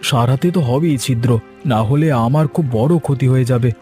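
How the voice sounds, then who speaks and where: A man's voice: mid-range voice, normal speed, dark tone, faint music behind, natural voice. A man voicing an earnest, trusting and poor village fish farmer in a cartoon folk tale. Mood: worried. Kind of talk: cartoon fairy-tale dialogue.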